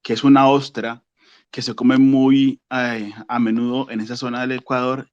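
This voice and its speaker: A man in his forties from Colombia, a podcast guest who speaks slowly and thoughtfully. He pauses often and fills the gaps with fillers. The recording is dry and dull, with a lo-fi edge. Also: medium pitch, flat delivery, dry recording. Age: 40s. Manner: slow, thoughtful, pausing with fillers. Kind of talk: casual podcast chat.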